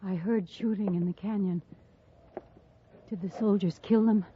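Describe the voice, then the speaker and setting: A woman acting a frightened, shaken frontier survivor in a 1950s radio western, speaking in tense, halting, plain phrases. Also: mid-range voice, steady tone, lightly acted. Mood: shaken, bleak. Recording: muffled, faintly noisy old radio recording.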